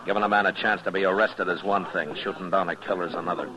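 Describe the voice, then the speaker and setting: Actor as a deep-voiced, weary frontier marshal. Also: male voice, steady tone, a crowd in the background, lightly acted. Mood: grim, weary. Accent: American. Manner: terse, measured, grave. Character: weary, stern, plain-spoken male frontier marshal. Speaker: actor and narrator in a radio western. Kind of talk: old-time radio western acting. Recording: dull vintage radio recording with music.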